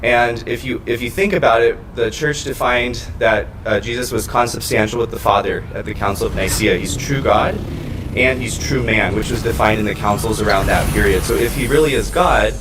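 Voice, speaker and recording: Low-voiced young man explaining carefully. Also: moderate pace, distant mic, background noise. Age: 20s. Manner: explanatory, methodical. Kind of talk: panel discussion.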